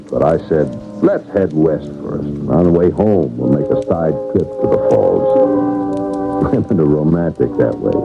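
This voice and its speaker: Loud music plays behind a deep-voiced newlywed brakeman telling his story. His delivery is expansive and smug, swooping widely in pitch, in the manner of an old radio play. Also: dull audio, heavily acted. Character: self-satisfied newlywed railway brakeman recounting his trip. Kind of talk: first-person narration in old-time radio drama.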